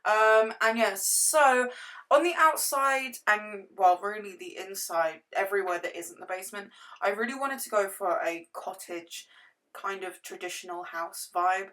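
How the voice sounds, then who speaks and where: A young woman with a hoarse, worn-out voice, chatting casually through a game build and correcting herself as she rambles. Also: on the low side, fairly bright tone. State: hoarse, lost voice. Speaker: gaming YouTuber.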